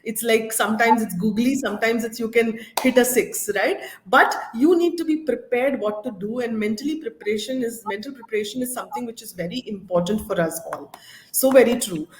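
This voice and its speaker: A woman's voice: a little high, fairly quick, a little hiss or hum. Echoey Indian woman hosting an interview. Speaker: interview host. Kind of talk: live conversational interview. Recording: roomy echo.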